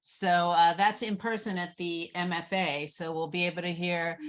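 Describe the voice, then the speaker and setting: A woman's voice: fairly low pitch, steady tone, moderate pace. A woman moderating a question-and-answer session in a casual, conversational way. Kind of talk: casual Q&A hosting.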